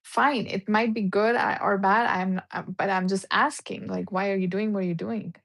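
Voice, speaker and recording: Woman, medium pitch, reflective, casual filler-heavy talk, video call guest. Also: fairly even intonation, moderate pace, gravelly, a bit bright. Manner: reflective, uses many fillers like "like". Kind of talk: casual conversation on a live stream.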